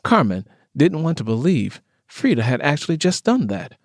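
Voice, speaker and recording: A man's voice: a little high, big pitch swings, normal speed, no echo, studio quality. A man reading scripted fiction as an audiobook narrator.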